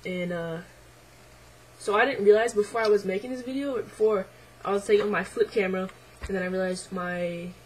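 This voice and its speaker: A young man speaks into his camera at home, voice in the middle of deepening and not quite settled, pitched in the middle and fairly flat. He is hesitant and reflective, filling pauses with ums and likes. Faint noise hums behind him. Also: poor audio. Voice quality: voice midway through deepening, a bit unsettled. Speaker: vlogger. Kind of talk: casual personal video diary.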